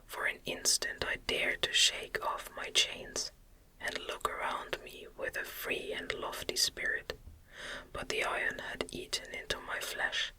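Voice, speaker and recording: A woman reads a classic novel aloud as ASMR, whispering in a gentle, soothing, unhurried way with short phrases. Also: poor audio. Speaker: audiobook reader.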